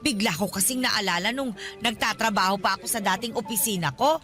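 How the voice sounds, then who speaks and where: A woman's voice: mid-range voice, brisk, sharp tone, faint music behind, natural voice. A woman with a Filipino accent playing an elderly, nosy, money-grasping godmother in a radio drama, wheedling and reproachful. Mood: nosy, boastful. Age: older.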